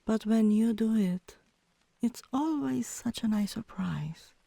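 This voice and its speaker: A woman voicing a teasing, softly dominant tomboy girlfriend in an intimate ASMR roleplay, with a low voice that turns breathy at times and a coaxing, commanding manner. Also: takes it slowly, no echo, full character voice. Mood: fond, teasing.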